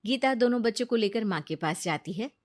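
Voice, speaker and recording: A woman narrates a scripted cartoon story. Her voice is somewhat high, and she delivers the tale as a storybook narrator. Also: lively intonation, a little fast.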